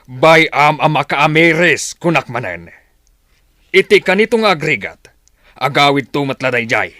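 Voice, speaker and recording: A man's voice: fairly high pitch, animated, normal speed, sharp tone, dry recording, clean studio sound. A man with an Ilocano accent playing a hot-headed, blustering village father in a radio comedy drama. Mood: angry, scolding. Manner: blustering, grumbling.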